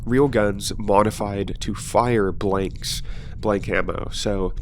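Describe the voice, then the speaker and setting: Slow, low-voiced man with a cold. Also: slight background noise. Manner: relaxed and rambling, with frequent ums and uhs. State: sniffly with a cold. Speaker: host of a weapons channel. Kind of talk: casual film-weapons commentary.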